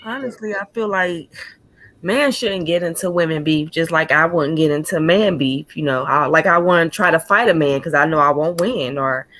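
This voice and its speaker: A woman's voice: deep voice, normal speed, fairly bright tone, dry recording. A woman hosting a casual group chat, asking questions and agreeing easily. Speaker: host.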